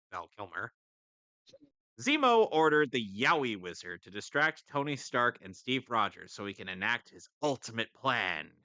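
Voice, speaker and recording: A man co-reading a comic script aloud on a livestream in a lively narrating style. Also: medium pitch, dry recording. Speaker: co-reader on a comedy livestream.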